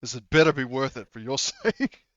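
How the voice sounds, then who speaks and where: A man's voice: medium pitch, lively intonation, normal speed, a bit bright, no echo, muffled recording, lightly acted. A man voicing a weary, stern, grudging character in a tabletop game, grumbling with exasperation.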